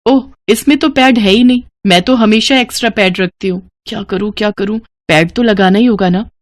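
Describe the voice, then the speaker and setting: A woman acts out an outspoken female schoolteacher in a moral story, earnest and protesting. Her voice sits at an average female pitch with a close, dry sound. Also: brisk, no room sound, natural voice. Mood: flustered. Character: indignant, outspoken young woman schoolteacher pleading her case.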